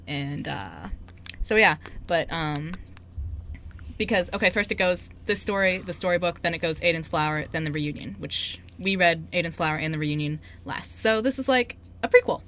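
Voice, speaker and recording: A woman's voice: on the low side, expressive, normal speed, a bit bright, a little hiss or hum. A young woman in casual, joking, informal chat on a radio show. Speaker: radio show member and script writer.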